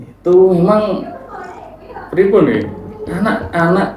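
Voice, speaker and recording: A man with a Javanese accent co-hosting a podcast, easygoing and quick to laugh in casual chat. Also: high voice, slight background noise. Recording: roomy echo.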